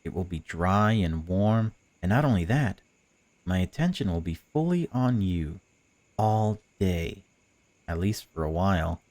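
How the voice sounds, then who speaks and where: A man's voice: low-pitched, lively intonation, measured pace, a little mellow. A man voicing a female stalker in a visual novel, a chatty, patronising captor who pretends to care. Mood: breezy, patronising. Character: cold, mocking female stalker, falsely caring captor. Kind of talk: visual novel dialogue read aloud.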